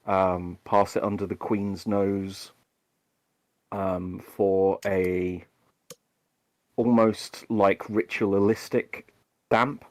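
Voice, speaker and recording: A British man with a fairly low voice talks very slowly on a podcast. He hesitates with frequent ums and uhs. The recording is dry and close, with no room sound. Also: little pitch movement, no room sound. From United Kingdom. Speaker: podcast co-host. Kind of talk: casual chat.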